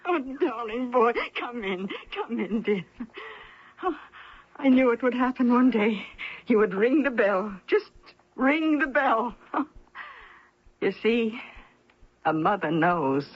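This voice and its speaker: An actress playing a lonely, doting older mother in a radio drama, emotional and repeating herself in a husky, breathy voice. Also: on the high side, animated, slow, pauses often, exaggerated voice. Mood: overjoyed, tearful. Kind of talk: 1970s-80s radio mystery drama acting. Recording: dull lo-fi old radio recording.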